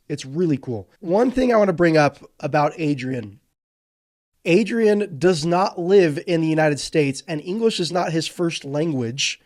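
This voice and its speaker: A man hosts an interview and asks his guest questions in an encouraging way. His voice sits a bit higher than most men's. He sounds keen and upbeat. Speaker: host. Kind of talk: casual interview.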